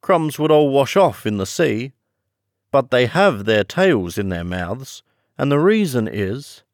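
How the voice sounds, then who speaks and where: A man's voice: medium pitch, very animated, slow, dry recording, studio quality, voice pushed up. A man voicing a melancholy, easily offended old male turtle creature in a children's storybook, speaking ponderously and emphatically. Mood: explaining.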